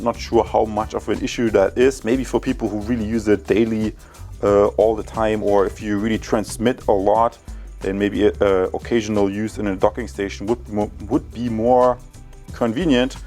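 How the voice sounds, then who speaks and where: Low-pitched man reviewing gadgets. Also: steady tone, normal speed, a little mellow, soft background music. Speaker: tech product reviewer. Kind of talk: casual product review and field test talk.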